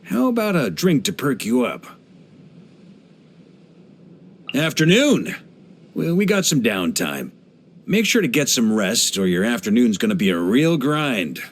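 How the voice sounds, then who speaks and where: A genial middle-aged knight commander from a video game, with a mid-pitched voice that moves in lively swings. He is easygoing and jovial, with a theatrical flair to the way he talks. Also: moderate pace. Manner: jovial, laid-back, theatrical, given to chuckles. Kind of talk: English video game character voice lines.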